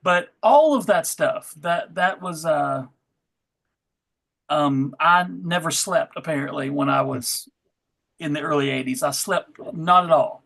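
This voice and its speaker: A middle-aged man hosting a casual panel chat in a halting, hesitant manner. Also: a little high, vocal fry, fairly bright tone. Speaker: panel host.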